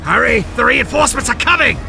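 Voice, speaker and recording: An earnest, resolute rebel guard in an audio drama. The voice is very high and creaky, moving up and down in a lively way. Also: male voice, fast talker, over music, exaggerated voice. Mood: urgent.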